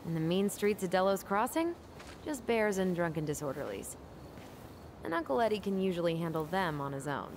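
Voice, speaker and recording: A woman's voice: on the low side, very animated, brisk, slight background noise. An actress playing a wry, caring but anxious young woman in a naturalistic video game drama. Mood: dryly joking. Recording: music behind the voice.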